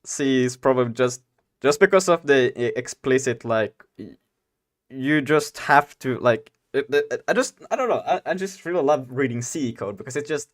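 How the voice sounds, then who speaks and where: Young guy rambling to his stream chat, laughs a lot, mid-pitched voice. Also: lively intonation, moderate pace. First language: Swedish. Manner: giggly, rambling, talks to chat. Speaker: game dev streamer. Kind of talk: casual live-stream chat.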